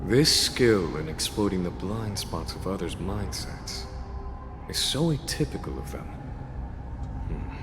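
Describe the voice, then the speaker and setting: A thoughtful commanding officer in a video game. His voice is fairly low, measured and formal, with music behind and a long reverb. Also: very animated. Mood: pensive. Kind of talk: scripted video game dialogue.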